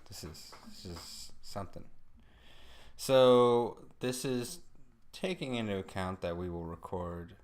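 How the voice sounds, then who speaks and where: A man's voice: low voice, slow pace. A man co-hosting a podcast, talking informally and slangily in casual banter with a friend. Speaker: podcast co-host.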